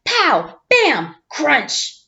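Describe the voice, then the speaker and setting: An eager young boy shark from a children's storybook, played by a woman reading aloud. The voice sits high and bright, with a childlike, emphatic delivery that bursts into exclamations. The recording is slightly dull. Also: huge pitch swings, extremely slow, exaggerated voice. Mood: excited.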